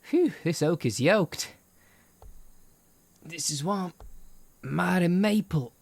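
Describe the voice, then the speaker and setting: A man's voice: high voice, lively intonation, slow pace, gravelly, no echo, heavily acted. A man playing an eager, kindly young student with octopus tentacles, folksy and hesitant in an audio roleplay. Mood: straining. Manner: folksy, hesitant, corny joking. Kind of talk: character acting in an ASMR audio roleplay.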